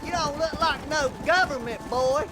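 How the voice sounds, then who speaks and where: An actor playing a belligerent, needling western deputy with a sneering delivery in a video game. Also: male voice, extremely high pitch, moderate pace, very noisy. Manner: sneering, combative. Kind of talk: dramatic video game acting. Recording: game audio with background music.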